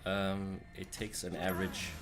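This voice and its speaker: Laid-back man with a very deep voice, chuckling guidance over gameplay, faint room noise. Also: little pitch movement, unhurried. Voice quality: very deep today. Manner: laid-back, laughs often, gives directions. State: coughing fits. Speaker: co-host and former monk. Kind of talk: casual gaming chat.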